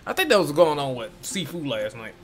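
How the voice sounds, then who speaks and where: A man's voice: a little high, sing-song, a little fast. A man doing casual live gameplay commentary, informal and sweary, reacting as he plays. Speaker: game streamer. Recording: game music behind the voice.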